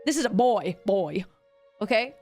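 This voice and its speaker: A woman's voice: medium pitch, expressive, a little slow, a little mellow, soft background music. A young woman streamer, giving a chatty, giggly voice lesson to her chat and swearing casually. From Canada.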